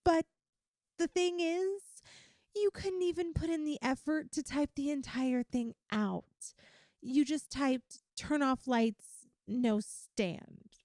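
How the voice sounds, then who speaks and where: Woman acting a high-pitched, sweetly obsessive yandere girlfriend. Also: a little mellow, no echo, studio quality. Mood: amused, admiring. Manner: cutesy, coaxing, teasing, with drawn-out emphasis. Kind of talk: ASMR-style girlfriend roleplay, addressed to the listener.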